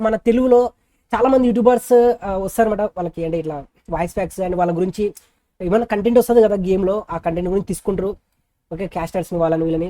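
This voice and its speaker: A woman streamer with a Telugu accent talks casually to her viewers. Her speech is quick and her tone is mellow. The sound is dry and close, and she drops informal English slang into her chat. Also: medium pitch, dry recording. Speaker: gaming streamer, host.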